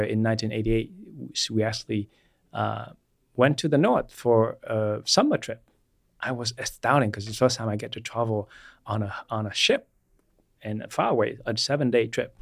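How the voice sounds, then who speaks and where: A man in his forties from Vietnam, whose first language is Vietnamese, telling his life story as a guest chiropractor in an unhurried way with many fillers. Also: mid-range voice, dry recording, studio quality. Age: 40s. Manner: unhurried, with frequent fillers and restarts. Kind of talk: personal storytelling in an interview.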